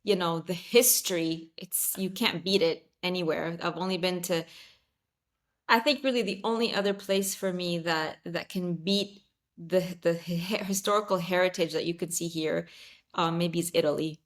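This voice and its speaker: A woman's voice: lowish voice, fairly even intonation, normal speed. A woman in her thirties, raised in Saudi Arabia with Arabic as her first language, talking casually as a podcast guest, with many fillers, restarts and easy laughs. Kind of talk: casual interview chat.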